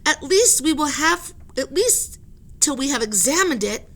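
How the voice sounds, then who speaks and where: A woman's voice: medium pitch, fairly even intonation, extremely slow, fairly bright tone. A woman reading aloud voices an eager, bossy older male professor who calls out commands. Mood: commanding.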